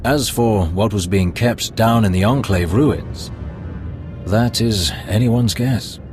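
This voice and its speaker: Polite, deliberate Imperial agent, slightly low voice, music under. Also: male voice. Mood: reserved. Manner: formal, courteous, deliberate. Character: formal male Imperial spymaster, polite and deferential. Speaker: advisor. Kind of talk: video game cutscene acting. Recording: music score behind.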